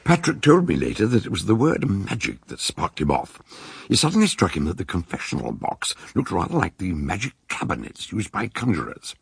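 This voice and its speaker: An actor playing an eccentric older detective, narrating a radio drama in a gravelly voice with measured, theatrical storytelling. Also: male voice, sits low, huge pitch swings, exaggerated voice. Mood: dramatic narrating. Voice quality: very husky, gravelly. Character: eccentric older male detective recounting a case.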